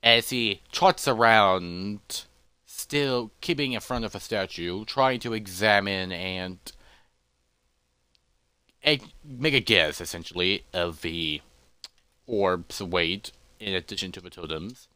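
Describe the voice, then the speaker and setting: A man narrating an adventure story in a loose, improvised way, halting and correcting himself as he goes. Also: low-pitched, bright, forceful tone, clean tone, no room sound.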